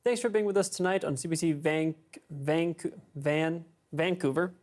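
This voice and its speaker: A man anchoring an evening television news broadcast from a studio, with a smooth, measured delivery. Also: on the high side, darkish tone.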